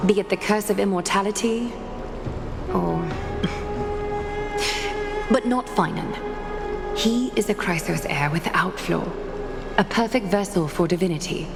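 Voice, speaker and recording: A woman playing a composed, authoritative guide to heroes in a video game, measured, formal and stately. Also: medium pitch, vocal fry. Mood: grave, measured. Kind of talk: scripted game voice acting. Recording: long reverb, loud music underneath.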